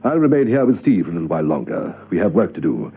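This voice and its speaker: A man's voice: low voice, big pitch swings, fast talker, husky, heavily acted. A man playing a fussy, authoritative old-school spy chief in a classic radio drama, with an emphatic manner. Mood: troubled, authoritative. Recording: tinny, noisy old radio recording.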